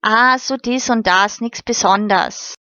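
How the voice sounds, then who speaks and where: A woman's voice: on the high side, takes it slowly, sharp tone, no echo. A woman reading the part of a cheerful, grateful young girl with folksy southern German turns of phrase. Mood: eager. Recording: slightly muffled recording.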